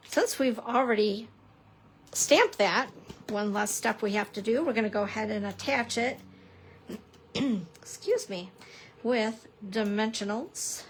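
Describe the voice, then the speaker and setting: A woman teaching a live craft demonstration, chatty and prone to laughing at herself, a little hoarse as she's losing her voice. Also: a little high. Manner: chatty, giggly, self-deprecating, exclamatory. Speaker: papercrafting instructor.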